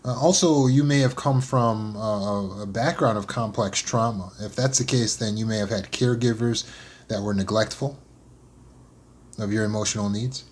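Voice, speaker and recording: A man speaking as a motivational speaker, in an earnest, unscripted solo talk. He repeats words as he thinks aloud, slows down on key phrases and speeds through lists. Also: fairly low pitch, husky.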